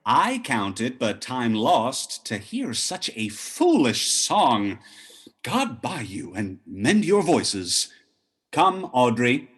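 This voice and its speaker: A man's voice: medium pitch, sing-song, takes it slowly, a bit bright, slight background noise. A man playing a merry, boisterous clown in a Shakespearean radio play, theatrical and emphatic. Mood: mock disdain. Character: merry, boisterous clown courting a country woman.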